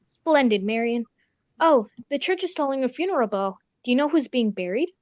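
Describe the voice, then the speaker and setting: A genial, sentimental gentleman sidekick from a radio mystery is played by a woman. The voice is high, with polite, old-fashioned exclamations. It is captured dry and slightly dull. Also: expressive, normal speed, no echo. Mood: curious, sympathetic. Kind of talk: old-time radio drama acting.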